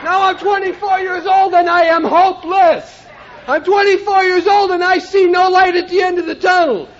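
High-voiced impassioned man on old tape. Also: flat delivery, moderate pace, dull audio, some chatter behind, a little hiss or hum. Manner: impassioned, often shouting with emphasis. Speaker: recovery speaker. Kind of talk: personal testimony at a recovery meeting.

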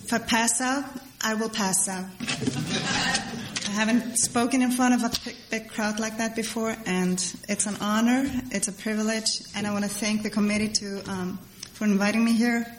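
A middle-aged woman speaks to a crowd in a fairly high voice. Her personal testimony is halting and rambling, with phrases repeated for emphasis. The room is clearly echoey, with faint noise behind her. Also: fairly even intonation. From Iceland. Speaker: speaker at a recovery meeting. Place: large meeting hall before a crowd. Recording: echoey hall with background noise.

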